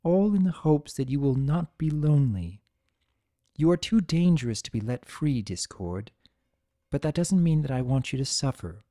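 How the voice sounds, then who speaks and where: A man voicing a regal female pony ruler in a story reading, composed, gentle but firm, with measured, formal speech. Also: mid-range voice, lively intonation, dry recording, studio quality, natural voice. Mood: solemn, gentle.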